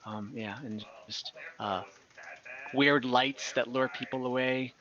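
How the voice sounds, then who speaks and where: A man improvising narration as game master of an online tabletop game, haltingly searching for words. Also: medium pitch, steady tone, sharp tone. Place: online voice call. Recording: dull online voice-call audio.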